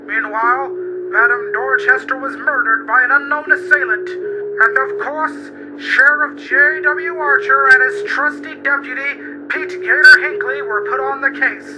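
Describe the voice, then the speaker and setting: A man playing a solemn, grandiose announcer in a 1950s radio serial, with measured delivery. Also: very high voice, steady tone. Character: solemn old-time radio serial announcer and cigarette pitchman. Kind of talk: 1950s radio drama narration. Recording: lo-fi old radio tape, music behind.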